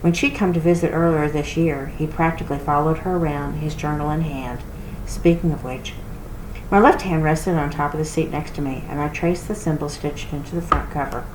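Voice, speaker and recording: A woman reading a storybook aloud as narrator for a library, with expressive delivery. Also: low voice, brisk. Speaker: reader for a library read-aloud. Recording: roomy echo with clear noise.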